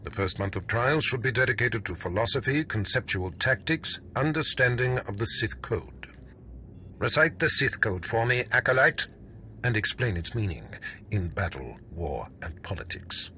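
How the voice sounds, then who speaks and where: A cold Sith overseer in a game cutscene. His low voice is sharp and forceful, with slow, imperious delivery full of contempt. Faint ambience sits behind him. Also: animated, slight background noise. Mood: demanding. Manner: imperious, deliberate, clipped pronouncements. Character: stern, contemptuous Sith overseer, a cold commanding male master. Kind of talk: video game cutscene acting.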